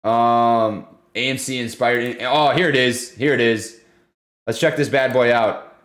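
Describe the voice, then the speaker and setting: A young man hosting a casual livestream, talking loudly and excitably while reading chat. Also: medium pitch, unhurried. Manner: loud, excitable, says he always yells. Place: home room.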